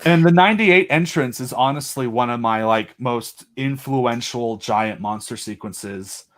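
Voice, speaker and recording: A man's voice: mid-range voice, unhurried, fairly bright tone. A man talking casually as a podcast guest, earnest and rambling as he thinks aloud. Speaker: podcast guest, independent audio drama creator. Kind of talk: casual chat.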